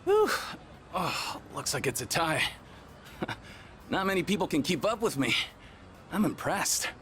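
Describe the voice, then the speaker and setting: Cocky young jock in a video game, blunt n sporty, very up and down voice. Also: male voice, fairly high pitch, sing-song, unhurried, a bit bright, slight background noise. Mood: respectful. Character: brash competitive athletic young man.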